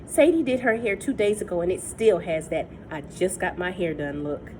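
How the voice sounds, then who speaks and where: A woman's voice: fairly low pitch, animated, normal speed, a little mellow, slight background noise, natural voice, low-quality recording. A woman voicing a confident teenage girl activist who speaks in a matter-of-fact, earnest way. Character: confident teen girl activist, self-assured.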